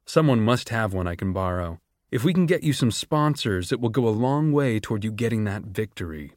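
A man voicing a calculating, ambitious young male student who talks smoothly and persuasively. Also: lowish voice, expressive, fairly quick, darkish tone, dry recording, clean studio sound, lightly acted. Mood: reassuring.

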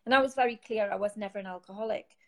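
A woman's voice: mid-range voice, very fast. A woman with a Geordie accent, a guest on a live interview, talking casually from her sofa on a phone, rambling with many fillers and restarts. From Newcastle, England. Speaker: guest, founder of an online support group. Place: home, sitting on a sofa.

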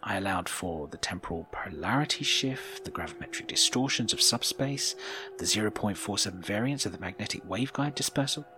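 A man's voice: lowish voice, lively intonation, a little fast, lightly acted. A man playing an earnest, bewildered junior starship officer in a sci-fi audio drama. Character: earnest, bewildered male starfleet ensign, long missing.

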